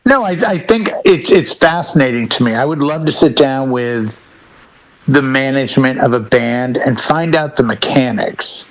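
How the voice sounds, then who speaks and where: A man's voice: mid-range voice, expressive, moderate pace, poor audio. Man in casual podcast banter. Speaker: podcast co-host. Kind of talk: casual panel chat.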